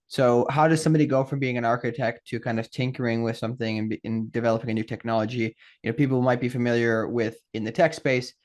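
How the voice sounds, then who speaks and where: Male podcast host, rapid delivery, mid-pitched, upbeat, long rambling questions. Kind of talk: scripted intro then casual interview questions.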